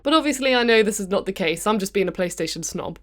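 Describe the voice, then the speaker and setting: A woman's voice: mid-range voice, lively intonation, fast, over music. A British woman hosting a casual, comedic video game review in a chatty, jokey way with playful slang.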